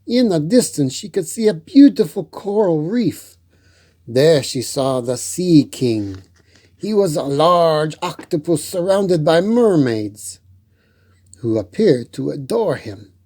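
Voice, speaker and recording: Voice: medium pitch, very animated, takes it slowly, mellow, dry recording, studio quality. A children's storytime host reading a picture book aloud expressively, with playful asides to the listeners.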